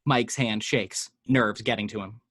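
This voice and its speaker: A man narrating the action lines of a screenplay at home, with vivid, measured delivery. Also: fairly low pitch, steady tone, fairly bright tone, husky, dry recording, studio quality. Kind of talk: screenplay reading.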